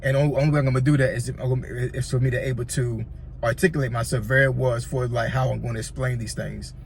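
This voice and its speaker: A man's voice: medium pitch, steady tone, fast, gravelly, a little hiss or hum. A man with a Southern accent from Virginia giving casual, rambling tips as a YouTuber, often repeating himself and checking in with "you know what I'm saying.". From Virginia, USA. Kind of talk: casual tutorial talk.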